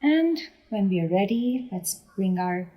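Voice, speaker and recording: A woman leading a guided meditation in a gentle, unhurried manner with deliberate pauses between phrases. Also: mid-range voice, animated, dull audio. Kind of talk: guided body scan meditation.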